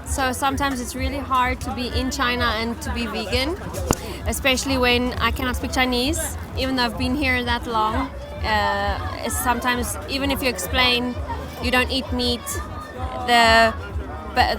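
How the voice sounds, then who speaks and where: A woman chatting casually in a street interview about living abroad. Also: sits high. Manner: chatty, light laughter. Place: busy city street, outdoors. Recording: street crowd and music behind.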